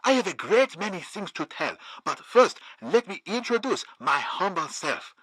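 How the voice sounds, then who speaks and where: An actor playing a formal, declamatory political envoy in a comedic video game. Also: male voice, high-pitched, very animated, normal speed, fairly bright tone, breathy edge, no room sound, heavily acted. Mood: ceremonious. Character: grandiloquent humble envoys and stern communist comrade.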